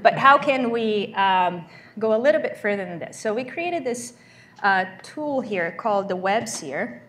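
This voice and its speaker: A woman's voice: mid-range voice, lively intonation, a little slow, a bit bright, slight background noise. A woman with a Brazilian accent giving a conversational, explanatory keynote demo in a large lecture hall. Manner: conversational, explanatory, frequent ums. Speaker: conference keynote speaker. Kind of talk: live demo presentation.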